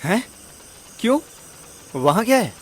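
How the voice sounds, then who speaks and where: Man acting high-voiced, brash, foolish young sidekick. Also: big pitch swings, measured pace, a little hiss or hum. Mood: cheeky. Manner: slangy, cheeky. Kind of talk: audio drama acting.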